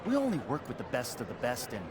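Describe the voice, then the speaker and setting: A man's voice: medium pitch, huge pitch swings, fast talker. A man playing a boastful, easily offended self-styled video gaming champion in a game cutscene, with bombastic, grandiose delivery. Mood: pompous boasting. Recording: loud crowd and outdoor urban noise.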